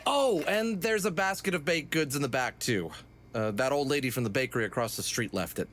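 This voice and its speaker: Man acting a wry, animated young gang leader. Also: medium pitch, fairly quick, slight background noise, lightly acted. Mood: wry, exasperated. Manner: hesitant asides, dry sarcasm. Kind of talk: acted comic audio drama.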